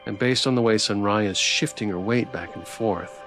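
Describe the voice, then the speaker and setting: A man's voice: on the low side, normal speed, a bit bright. Man narrating a game over music. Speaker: streamer reading a visual novel aloud. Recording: background music.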